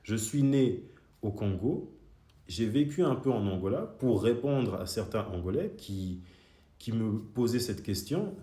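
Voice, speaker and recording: A young man who grew up in Switzerland talks back at his critics, heated and argumentative. His voice sits low and mellow, and it rings around an echoey room. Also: expressive, a little slow. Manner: argumentative, speaks straight to critics. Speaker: video creator. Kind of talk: heated monologue to commenters.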